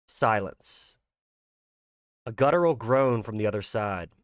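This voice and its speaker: Male horror narrator, lowish pitch, dry sound, scripted scene-setting delivery. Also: animated, measured pace, clear, resonant tone, no echo. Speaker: narrator and creator.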